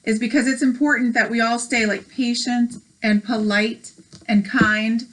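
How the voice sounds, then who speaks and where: Casting: American woman as read-aloud host, slightly high voice, encouraging, explains things simply between lines. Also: fairly even intonation, unhurried, low-quality recording. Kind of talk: reading a picture book aloud with chatty asides.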